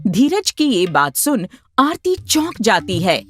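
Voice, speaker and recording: A woman's voice: medium pitch, big pitch swings, slow, faint music behind. A woman narrator telling a scripted moral story expressively.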